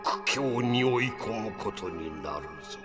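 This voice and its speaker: An actor playing an old grandfather's spirit, grave and deliberate, counseling his grandson in an audio drama. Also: mid-range voice, animated, loud music behind the voice, close to an everyday voice. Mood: grave, urging. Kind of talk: Japanese audio drama acting.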